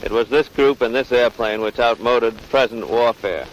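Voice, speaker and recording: A man's voice: medium pitch, moderate pace. American male newsreel interviewer, formal 1940s delivery, lightly noisy vintage field recording.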